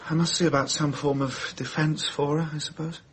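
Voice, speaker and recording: An actor playing a sober, concerned English gentleman in a radio detective drama. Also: on the high side, fairly even intonation, fairly quick, husky.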